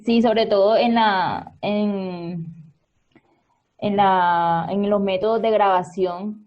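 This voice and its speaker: A woman's voice: medium pitch, fairly even intonation, takes it slowly, lo-fi sound. A woman who directs and acts, explaining casually with hesitant starts. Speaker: radio theatre actress and director. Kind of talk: casual talk.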